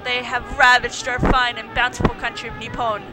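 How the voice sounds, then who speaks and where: A woman voicing a gentle female tree spirit from a video game, speaking in a formal, reverent and pleading way. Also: on the high side, flat delivery, normal speed, heavy background noise, natural voice. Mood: solemn, pleading. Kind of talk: video game cutscene voice-over, read aloud.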